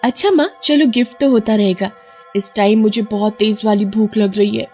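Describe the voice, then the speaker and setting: Give a sweet little cartoon daughter, acted by a woman, raised in pitch and full of eager pleading. The tone is soft and rounded rather than sharp. The audio is a bit dull, with music underneath. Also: normal speed, slightly rough.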